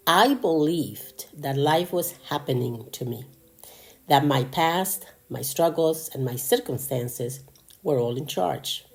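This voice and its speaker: Podcast host: woman in her sixties or older, Argentine Spanish accent, very low voice, unhurried delivery. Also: darkish tone. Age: 60s or older. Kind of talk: personal motivational monologue.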